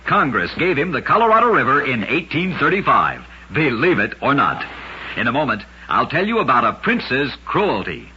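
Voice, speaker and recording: A man's voice: medium pitch, huge pitch swings, moderate pace, sharp tone, lo-fi sound. A man narrating short oddity stories as a radio host, with a dramatic, emphatic scripted delivery on a vintage recording. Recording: noisy, band-limited vintage radio recording.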